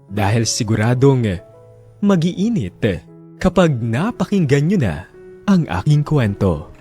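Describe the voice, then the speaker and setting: A man's voice: mid-range voice, very animated, unhurried, warm tone, soft background music. A man with a Filipino accent reading a confessional letter as a radio drama storyteller.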